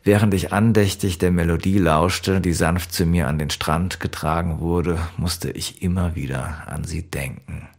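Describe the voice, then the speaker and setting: Deep voiced guy reading an audiobook story, first person, measured n kinda wistful. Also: fairly even intonation. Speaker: audiobook narrator.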